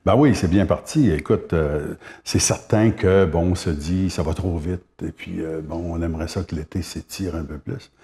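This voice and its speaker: A Québécois man in his seventies with a very low, mellow voice speaks as a guest. He reminisces at an unhurried pace, pausing often on hesitations. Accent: Québécois French. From Gaspé Peninsula, Quebec. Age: 70s. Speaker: singer-songwriter guest. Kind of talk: casual interview chat.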